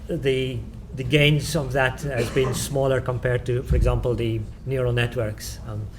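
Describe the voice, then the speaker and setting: A man with a South African accent answers questions at a conference talk. He is halting, full of "um"s and self-corrections, at an everyday mid pitch. A faint hum of the room runs underneath. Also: level tone. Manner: hesitant, many "um"s and restarts.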